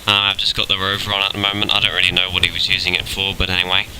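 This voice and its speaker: A young man with a cold, talking through his game in a relaxed, halting way with many ums. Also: low-pitched, a little hiss or hum, low-quality recording. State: has a cold. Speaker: gaming video host. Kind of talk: casual gameplay commentary. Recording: game sounds clearly behind.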